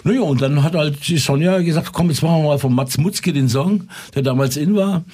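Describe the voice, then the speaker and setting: An older man with a Franconian accent, a musician and former pub owner, telling lively stories with many fillers in a casual radio interview. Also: on the high side, a little fast, breathy edge.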